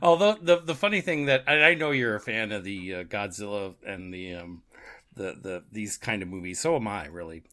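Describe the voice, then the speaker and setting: A man co-hosting a podcast in casual chat, rambling and halting as he repeats words, often given to chuckling. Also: lowish voice, lively intonation, sharp tone. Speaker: podcast co-host.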